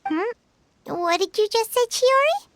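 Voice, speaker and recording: Tiny eager game sidekick, very high squeaky child voice, bouncy intonation, chirpy and easily flustered. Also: female voice, big pitch swings, a little slow, noisy background, exaggerated voice. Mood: confused, alarmed. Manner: speaks of herself in third person. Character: small chirpy childlike female companion, eager and fretful. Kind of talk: anime game voice acting.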